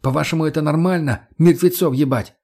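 Мужчина в роли раздражённого главаря-зануды, с хрипотцой. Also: male voice, on the high side, fairly quick, a little mellow, voice pushed up. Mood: irritated. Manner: dry, grumbling, sarcastic. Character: irritable, pedantic, weary male gang boss.

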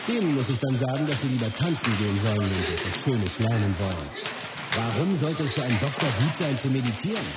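A man's voice: mid-range voice, animated, quick pace, lightly acted. An actor playing a confident, blunt, philosophical martial arts master telling his story in a radio play. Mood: dismissive, instructive. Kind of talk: scripted first-person narration in a radio play. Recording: loud music under the voice. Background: music.

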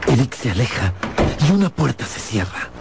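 A man's voice: medium pitch, very animated, normal speed, vocal fry, heavy background noise. A man giving dramatic scripted narration for a radio play. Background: music.

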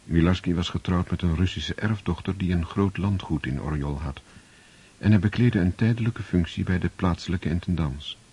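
A man narrating a radio drama in a measured, storytelling way. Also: low voice, darkish tone, breathy edge. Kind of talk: scripted narration.

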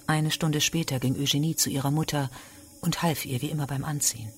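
A man's voice: fairly high pitch, fairly even intonation, fairly quick, a little hiss or hum. A man narrating a literary radio drama from a script.

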